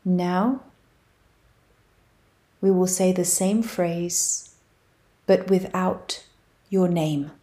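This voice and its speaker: A woman guiding a yoga nidra meditation, speaking softly and evenly with long pauses. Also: on the low side. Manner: slow, soft and even, with long pauses. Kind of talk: guided meditation.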